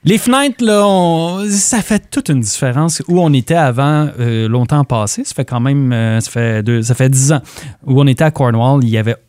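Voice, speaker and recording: French canadian guy interviewing on radio, medium pitch, circles around his phrases, dry studio. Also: normal speed, darkish tone, smooth, dry recording.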